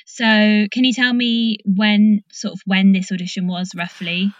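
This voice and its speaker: A woman's voice: mid-range voice, unhurried, no echo, lo-fi sound. A British woman hosting a casual interview, informal and encouraging, feeling her way through questions. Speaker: podcast host interviewing.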